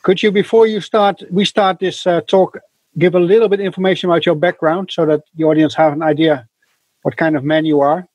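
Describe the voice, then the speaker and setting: Male host, slightly higher voice, husky, dry, halting questions. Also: steady tone, a little mellow, no echo, rough recording. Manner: halting, searching for words. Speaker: talk show host. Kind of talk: interview hosting.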